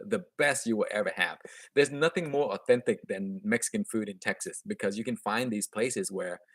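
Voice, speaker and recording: A man's voice: on the low side, a bit bright, dry recording. Chatty man with a slight Vietnamese accent. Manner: chatty and upbeat, frequent restarts and chuckles. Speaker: gardening channel host. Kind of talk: casual live stream chat answering viewer comments. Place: room at home, indoors.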